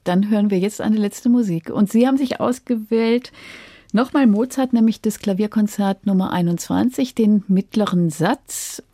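Lady radio host, mellow voice, dry studio sound, polite and measured interview questions. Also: mid-range voice, dry recording.

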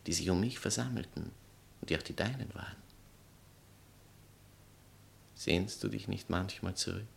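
Actor as a gravelly, pompous bohemian writer. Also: male voice, lowish voice, a little hiss or hum. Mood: urgent, pleading. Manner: declamatory, sarcastic, self-important. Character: pompous, theatrical male bohemian writer, jilted former lover. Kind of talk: stage satire acting in literary German.

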